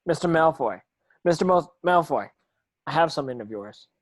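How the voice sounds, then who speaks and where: A man's voice: fairly high pitch, animated, moderate pace. A man playing a brave, polite, determined schoolboy in a drama read-through, speaking earnestly. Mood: urgent.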